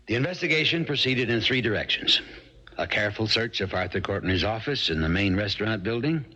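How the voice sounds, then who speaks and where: Bright, low-voiced folksy sheriff. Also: male voice, lively intonation, a little fast, a little hiss or hum. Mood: measured, insistent. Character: plain-spoken small-town sheriff testifying and sleuthing. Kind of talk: 1970s radio drama acting. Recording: dull vintage radio recording, music behind.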